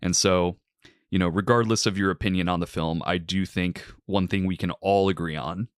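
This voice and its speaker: Deep, smooth male podcast host. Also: steady tone, no echo, studio quality. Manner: articulate, measured, uses "like" fillers. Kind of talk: film review and interview hosting.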